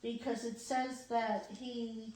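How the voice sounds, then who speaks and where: A woman in her thirties leading a casual Bible study chat, giggly and animated, laughing often. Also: a little high, slow. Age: 30s. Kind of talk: casual group discussion. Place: indoor room shared with others. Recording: echoey room.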